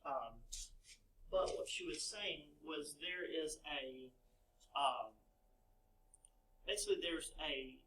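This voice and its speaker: A man's voice: a little high, takes it slowly, pauses often, bright, forceful tone, a little hiss or hum. A man on a math team explaining a problem to his coach, hesitant with lots of fillers. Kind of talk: casual explanation. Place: classroom. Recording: echoey classroom from far off.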